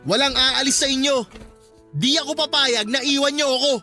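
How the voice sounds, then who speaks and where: An actor with a Filipino accent playing a belligerent, abusive husband and father who sneers and shouts in a radio drama. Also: very high voice, normal speed, soft background music, full character voice. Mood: agitated.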